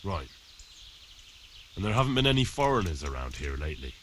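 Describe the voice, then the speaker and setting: An actor playing a young village man in a video game, neighborly but firm, with a measured, questioning manner. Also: deep voice, sing-song, a bit bright, a little hiss or hum. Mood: stern, pressing. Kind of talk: video game dialogue acting.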